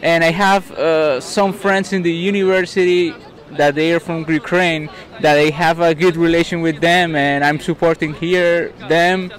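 A man's voice: fairly high pitch, steady tone, measured pace. A young man with a Colombian accent giving hesitant street interview answers, searching for words. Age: young adult. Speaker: rally participant being interviewed. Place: outdoor city park at a rally. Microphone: handheld interviewer mic with windscreen.

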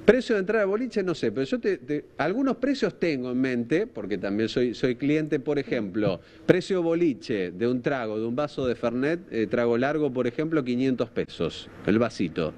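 A man in his thirties with a Rosario Argentine accent, reporting live on TV in an informal, halting way, repeating words as he thinks. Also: medium pitch, animated, a little mellow. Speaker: TV field reporter. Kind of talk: live unscripted news reporting. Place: outdoors at night by a park. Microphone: handheld microphone, through a face mask.

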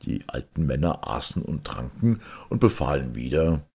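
A man reading a fairy tale aloud in a husky, gravelly voice, dramatic and deliberate. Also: rumbling low, very animated, darkish tone. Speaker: storyteller, audiobook reader. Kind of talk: expressive fairy-tale narration.

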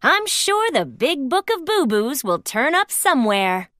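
A woman plays a motherly storybook hippo toy, sensible and reassuring. The voice is knowing, with lively swings in a high register. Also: unhurried, no echo, studio quality, full character voice. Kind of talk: children's storybook character reading.

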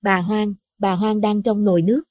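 A man's voice: sits high, level tone, normal speed, a little mellow, no echo, dull audio, pitched above the performer's own voice. A man putting on a frightened village wife. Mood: terrified. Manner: trembling, pleading, panicky.

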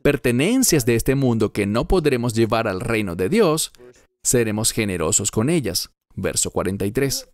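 Man voicing an earnest, animated Bible teacher. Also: medium pitch, normal speed, dry recording, lightly acted. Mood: earnest, emphatic. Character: earnest male Bible teacher in his fifties. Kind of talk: dubbed sermon, verse-by-verse teaching.

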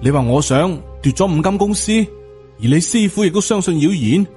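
A man's voice: on the high side, animated, a little fast, a little mellow, pitched above the performer's own voice, close to an everyday voice. A man with a Hong Kong Cantonese accent voicing a proud, indignant, hot-tempered Taoist master in an audiobook. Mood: outraged. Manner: indignant, confrontational. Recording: music under the voice.

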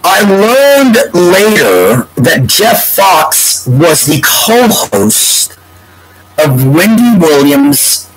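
A man in his forties with a congested, stuffy nose, rambling to a webcam from a room at home in a meandering, drawn-out way. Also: sits high, sing-song, crowd noise, rough recording. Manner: meandering, drawn-out, breaks into humming a tune. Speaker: vlogger. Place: home room with bookshelves, indoors. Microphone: webcam at desk.

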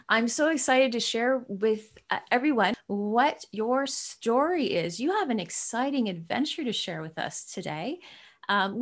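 An upbeat woman in her forties hosts an interview at a medium pitch, welcoming her guest warmly and with enthusiasm. She speaks from a room at home over a webcam. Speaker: host. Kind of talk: interview host introduction.

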